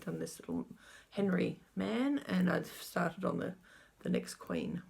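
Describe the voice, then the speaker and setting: A woman's voice: fairly low pitch, huge pitch swings, a little slow, creaky, darkish tone, breathy edge. A middle-aged woman chatting casually through her cross-stitch update, rambling and talking to herself as she checks her notes. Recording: faint background noise.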